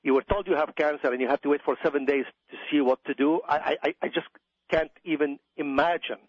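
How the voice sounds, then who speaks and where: A man's voice: a little high, steady tone, fast, no room sound. Radio interview guest: emphatic middle-aged man, Iraqi accent, doctor, repeats himself for stress, dry and slightly muffled sound. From Iraq. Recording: dull, dry radio audio.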